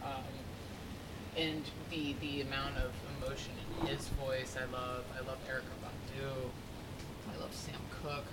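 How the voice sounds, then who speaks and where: A woman with a deep speaking voice, a singer and podcast guest, chatting casually with frequent laughs and a rambling, self-deprecating manner. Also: flat delivery, unhurried, heavy background noise.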